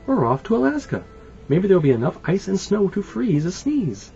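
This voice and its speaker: A hapless, superstitious storybook woman, played by a man, speaks in a raised, very expressive voice. The pitch moves around a lot. The recording is a little dull, with music underneath. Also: normal speed, gravelly, full character voice. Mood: hopeful. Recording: dull lo-fi sound.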